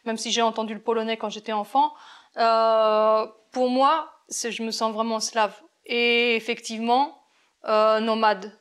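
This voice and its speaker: A woman born in France, an interview guest, talking about her life slowly and reflectively, with many hesitations and restarts. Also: a little high, fairly even intonation, a bit bright, smooth. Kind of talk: reflective personal testimony.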